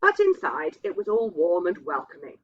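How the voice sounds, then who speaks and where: A woman's voice: fairly low pitch, wildly swooping pitch, normal speed. A woman reading a literary story aloud with expression. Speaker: reader of a ghost story. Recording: dull, muffled lo-fi sound.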